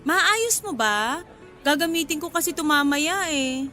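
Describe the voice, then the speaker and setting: A woman's voice: high voice, lively intonation, a little slow, pushed and bright, faint music behind, exaggerated voice. An actress playing a playful, forward young flower seller in a Filipino radio drama, coy and giggly as she teases. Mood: friendly, asking favor.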